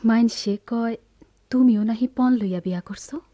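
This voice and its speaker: A woman's voice: on the high side, moderate pace, mellow, lightly acted. A woman playing a poor, plain-spoken young village woman in a radio drama, frank and folksy, with a rural eastern Bengali dialect. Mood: hesitant, familiar. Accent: rural eastern Bengali dialect, Bangladesh.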